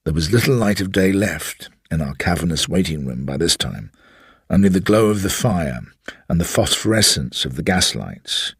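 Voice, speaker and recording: A man reading a ghost story aloud with a measured, understated delivery. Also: deep voice, animated, slightly rough, dry recording, clean studio sound. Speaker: reader. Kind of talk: audiobook storytelling.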